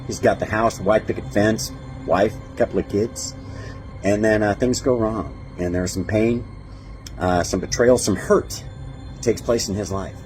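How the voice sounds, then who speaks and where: Male voice actor, low pitch, measured promo delivery, small pauses, faint music bed. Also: darkish tone, poor audio. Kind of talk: promotional talk.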